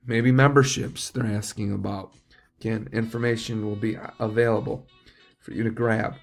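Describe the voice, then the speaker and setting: A man's voice: fairly low pitch, level tone, normal speed, creaky, soft background music. A man giving an informal, plain-spoken training talk to new staff as their manager, often checking that they follow. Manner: plain-spoken, instructive, checks understanding with tags.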